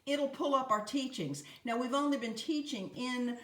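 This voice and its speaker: Deep smooth woman's voice, co-host, roomy room, away from the phone mic. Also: moderate pace, fairly bright tone. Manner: chatty, hesitant, many fillers. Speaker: Bible study co-host. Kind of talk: casual conversation. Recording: roomy phone recording from a distance.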